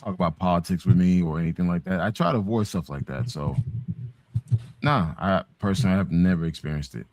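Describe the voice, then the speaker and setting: Middle-aged American male, low voice, dry close sound; blunt, sweary, repetitive opinion talk. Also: fairly quick, darkish tone, no room sound. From United States, Haitian background. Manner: emphatic, swearing, repeats himself. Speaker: talk show participant. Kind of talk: casual opinionated discussion.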